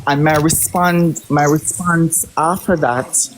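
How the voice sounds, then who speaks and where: A man's voice: on the high side, fairly even intonation, takes it slowly. A man from Jamaica speaks with a strong Jamaican accent on a live video chat. He presses his points hard and repeats words as he pushes through. A faint hiss runs under his voice. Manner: emphatic, repeats words when stressing a point. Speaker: livestream host. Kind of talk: heated livestream discussion.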